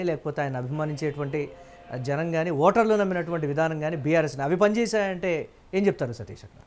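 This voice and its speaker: A man presenting live news and putting questions as an anchor. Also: a little high.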